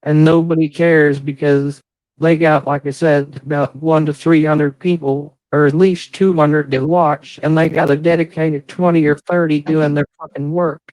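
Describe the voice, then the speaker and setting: A man's voice: fairly high pitch, level tone, moderate pace, darkish tone, no echo, poor audio. Aggrieved man with a dry, close sound. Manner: profane, aggrieved, defensive. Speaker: livestream host. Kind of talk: casual livestream chat.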